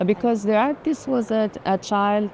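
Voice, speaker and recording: A woman in a reflective conversation about art, phrasing her thoughts haltingly. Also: mid-range voice, darkish tone. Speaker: art collector. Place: busy art fair. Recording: clear urban ambient noise.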